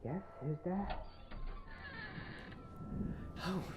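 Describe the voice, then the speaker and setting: A man playing a welcoming wizard-school teacher in an ASMR roleplay, speaking softly and a little haltingly. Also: sits high, natural voice. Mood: relieved, concerned. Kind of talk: soft-spoken ASMR roleplay. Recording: clear ambient noise behind the voice.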